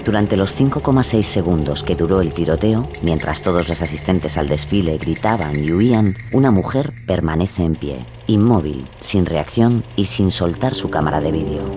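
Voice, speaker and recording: A man from the mainland school of narration, with a very low voice. He reads suspenseful documentary script deliberately, with music clearly behind him. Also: lively intonation. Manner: deliberate, dramatic.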